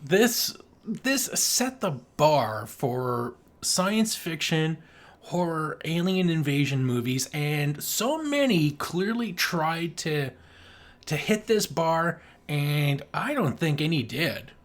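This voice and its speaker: A man's voice: a little high, very animated, slow. A man co-hosting a podcast, chatting casually and giving his opinions with emphatic enthusiasm. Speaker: podcast co-host. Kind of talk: casual opinionated movie chat.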